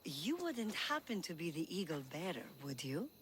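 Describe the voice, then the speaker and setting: Coaxing Greek wife, very animated. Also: female voice, fairly low pitch, moderate pace, noisy background. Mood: flattering, coy. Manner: gushing, coaxing. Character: flattering, coaxing ancient Greek general's wife. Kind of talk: scripted video game acting. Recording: music behind the voice.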